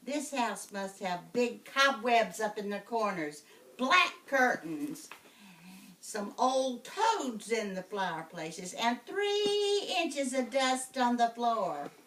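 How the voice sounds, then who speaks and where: A woman voicing a cranky, bossy old female witch in a storybook reading, shouting and screeching. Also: on the high side, very animated, slow, distant mic, voice pushed up, heavily acted. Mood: screechy scornful.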